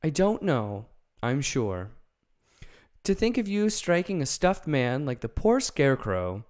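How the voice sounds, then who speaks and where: A man's voice: sits high, animated, drawn-out words, a little mellow, clear, resonant tone, voice pushed up, exaggerated voice. A man voicing a brave young girl in a storybook reading, scolding and earnest. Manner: indignant, scolding.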